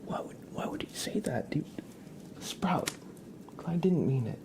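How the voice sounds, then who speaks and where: A man livestreaming ASMR, chatting softly and playfully and often dropping into whispers. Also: on the high side, expressive, unhurried. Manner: playful, mock-serious, doting talk about and to a pet. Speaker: ASMR live streamer.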